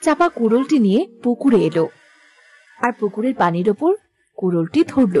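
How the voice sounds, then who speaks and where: A woman's voice: on the high side, expressive, a little fast, a little mellow, close to an everyday voice. A woman narrator reading a scripted cartoon fairy tale.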